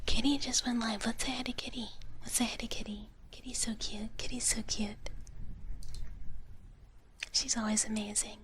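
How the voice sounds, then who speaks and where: A woman hosting an ASMR livestream in a breathy whisper, speaking soothingly and gently repeating her phrases. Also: fairly high pitch, steady tone, moderate pace, long pauses, a little hiss or hum. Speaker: livestream host.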